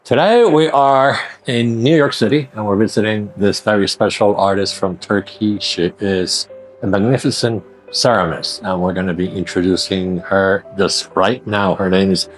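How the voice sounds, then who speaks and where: A man's voice: fairly low pitch, moderate pace, soft background music, low-quality recording. A man hosting a conversational video interview, presenting to viewers and prompting his guest. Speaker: host, interviewer.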